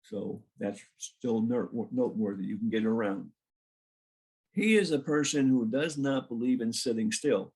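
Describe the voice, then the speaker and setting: A man's voice: mid-range voice, measured pace, darkish tone, breathy edge, poor audio. A man introducing a guest speaker at a club meeting over a video call. He talks in a chatty, informal way and jokes a little.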